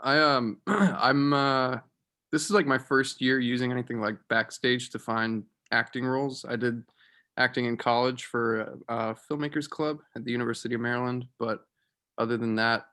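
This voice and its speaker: A man's voice: medium pitch, steady tone, normal speed, pushed and bright. A young man in casual, informal conversation, speaking with easy enthusiasm about his own experience. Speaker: aspiring actor. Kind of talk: casual chat. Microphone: video call.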